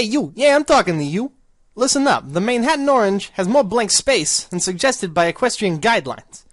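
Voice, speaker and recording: A man playing a pushy, threatening pony newspaper pitchman in a radio play advert. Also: a bit bright, dry recording, studio quality, heavily acted.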